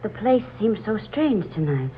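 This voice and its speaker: A woman's voice: medium pitch, very animated, unhurried. An actress playing an uneasy, hesitant young woman in a 1940s radio drama. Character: Laura, uneasy young woman sensing strangeness. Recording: muffled, hissy 1940s radio recording.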